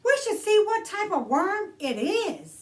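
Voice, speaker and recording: A woman's voice: very high-pitched, big pitch swings, measured pace, far from the mic. A woman hosting a children's storytime, reading a storybook aloud expressively and addressing young listeners directly in a theatrical way.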